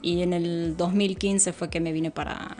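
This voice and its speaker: A woman's voice: lowish voice, little pitch movement, measured pace, darkish tone. Rambling woman guest, lots of fillers. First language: Spanish, with English since early childhood. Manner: rambling, frequent fillers and self-interruptions, laughs easily. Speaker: podcast guest. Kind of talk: casual conversational interview.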